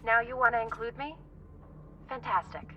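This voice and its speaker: A woman's voice: on the high side, normal speed, recorded from a distance, highs cut off, slight background noise, natural voice. A woman playing a wry, sceptical space scavenger crewmate in a video game cutscene, with dry delivery. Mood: wry, sarcastic. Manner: dry, sardonic.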